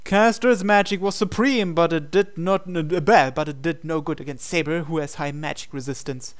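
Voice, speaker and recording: A man reading visual novel text aloud as a measured, dramatic storyteller and host. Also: on the high side, clean tone.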